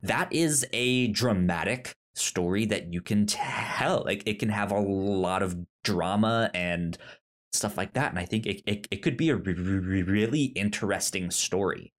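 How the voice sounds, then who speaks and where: A man co-hosting a casual TV review podcast, speaking haltingly and often restarting his words. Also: on the low side. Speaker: podcast co-host.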